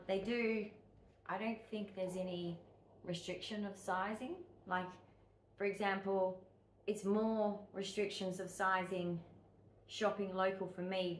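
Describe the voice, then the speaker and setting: An Australian woman with a croaky voice, chatting casually and rambling to her vlog audience at home and in a car. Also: medium pitch, roomy sound, slight background noise, low-quality recording. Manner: chatty, rambling, laughs often. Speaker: vlogger.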